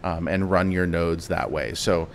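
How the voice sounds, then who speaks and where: A man with a deep, low voice answers questions in an easygoing, casual manner and sometimes restarts his sentences. A faint hum of conference ambience sits behind him. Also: measured pace. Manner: relaxed, casual, with some restarts. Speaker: interview guest, company executive. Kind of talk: conference interview. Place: crypto conference venue.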